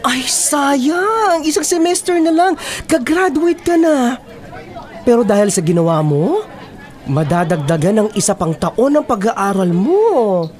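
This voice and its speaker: A woman's voice: fairly high pitch, big pitch swings, unhurried, a little mellow. A young woman playing a concerned, scolding best friend in a Filipino radio drama, emphatic and exasperated. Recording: faint crowd chatter behind.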